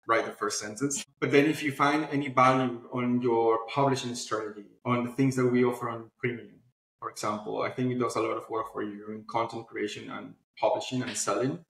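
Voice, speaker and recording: Male interview guest, mid-pitched, informal explainer in a roomy, echoing space. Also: fairly even intonation, a little fast, creaky, fairly bright tone. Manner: informal, explanatory, often adds "you know". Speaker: guest, publishing platform founder. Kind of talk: conversational interview answers.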